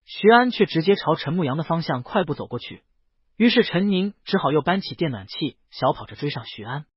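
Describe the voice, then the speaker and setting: A man's voice: a little high, very animated, a little fast, slightly rough, no room sound. A man reading a romance novel aloud as scripted narration, close to the microphone. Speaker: audiobook narrator. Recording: dull, lo-fi, close dry sound.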